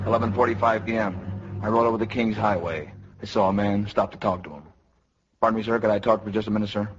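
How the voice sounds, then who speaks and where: An actor playing a deadpan knight who talks like a clipped, polite police detective in a 1950s radio parody. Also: male voice, fairly low pitch, quick pace, natural voice. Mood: deadpan, businesslike. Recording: dull, very noisy 1950s radio recording.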